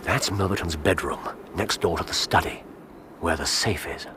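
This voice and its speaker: A man acting a low, urbane English detective. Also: normal speed, background noise, close to an everyday voice. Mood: hushed, assured. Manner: theatrical, urbane, formal diction. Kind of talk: radio drama acting.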